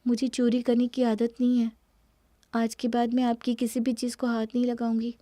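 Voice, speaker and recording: A woman voicing a gentle, devoted young daughter-in-law with self-respect in a cartoon family story. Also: a little high, monotone, moderate pace, dry recording, lightly acted. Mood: hurt, firm. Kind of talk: Hindi cartoon moral-story voice acting.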